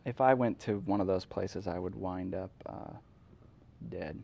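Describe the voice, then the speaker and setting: A man's voice: sits low, a little slow, vocal fry, dark tone, muffled recording. A man co-hosting a show in casual, joking conversation. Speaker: podcast co-host. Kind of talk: casual comic chat.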